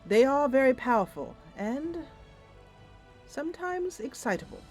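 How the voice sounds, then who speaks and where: Gracious fae sister, voiced by a woman: diplomatic, formal, gently persuasive, varied intonation, fantasy roleplay. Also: high voice, very animated, a little slow, soft background music, voice pushed up, heavily acted. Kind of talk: tabletop fantasy roleplay character.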